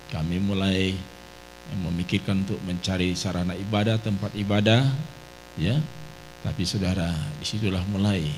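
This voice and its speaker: A middle-aged male pastor from Medan preaches a testimony in a very low, deep voice. He keeps punctuating his phrases with little affirmations and direct address to the congregation. A faint electrical hum runs under the live church recording. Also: darkish tone, low-quality recording. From Medan, Indonesia. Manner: repeats "ya" and "saudara" between phrases. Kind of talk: sermon testimony. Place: church sanctuary.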